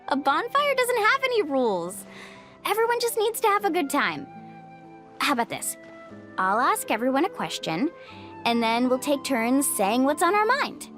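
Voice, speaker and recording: An outgoing young female guide in a video game. Her voice is high and lively, with an upbeat, encouraging manner, over soft music. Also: moderate pace. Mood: upbeat, playful.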